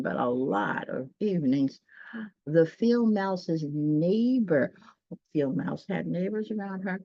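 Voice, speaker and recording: A woman reading a children's story aloud, pausing dramatically and chatting directly to her listeners. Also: on the low side, no room sound. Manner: dramatic pauses, gasps, talks directly to listeners. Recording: dull, dry lo-fi sound.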